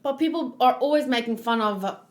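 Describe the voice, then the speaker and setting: A woman hosts a read-along in a casual, informal way. She thinks out loud as she talks, often feeling around for the right word. Her commentary is chatty and unscripted. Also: on the high side, a little fast. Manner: thinking aloud, searching for words. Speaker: host of a manga read-along.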